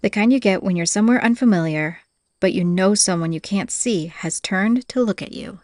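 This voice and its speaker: A woman's voice: medium pitch, moderate pace, darkish tone, no echo, clean studio sound. A woman reading scripted fantasy audiobook narration as the storyteller.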